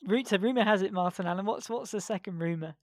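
A man co-hosting a football podcast, easygoing and quick to laugh in casual chat. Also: high-pitched, dry recording, clean studio sound. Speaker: podcast co-host, sports broadcaster.

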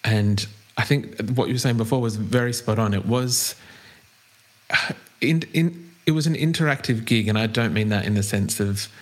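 A man interviewing a musician he has long been a fan of, speaking haltingly with many ums. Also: mid-range voice. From Australia. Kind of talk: interview questions.

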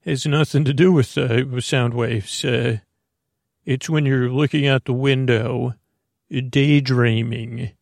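Creaky-voiced man rambling soothingly. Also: mid-range voice, fairly even intonation, darkish tone, no echo, studio quality. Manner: soothing, meandering, halting with frequent stammers and self-interruptions. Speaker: podcast host. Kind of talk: rambling bedtime sleep podcast monologue.

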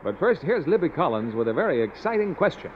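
Animated American man, vintage radio announcer. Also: a little high, fast, a little hiss or hum. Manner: polished, salesmanlike. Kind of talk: 1950s scripted radio commercial. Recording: slightly dull vintage radio recording.

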